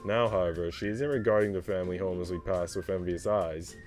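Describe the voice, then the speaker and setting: A man's voice: deep voice, steady tone, fairly quick, darkish tone, soft background music. A man narrating a visual novel aloud as a streamer, moving between scripted prose and casual reaction.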